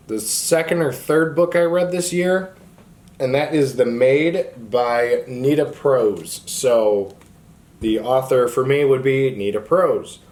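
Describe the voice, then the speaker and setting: A man's voice: medium pitch, a little mellow. A man hosting a casual book vlog, talking off the cuff to viewers in an informal, opinionated way peppered with filler words. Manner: informal, opinionated, frequent "like" and "honestly". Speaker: book reviewer, video host.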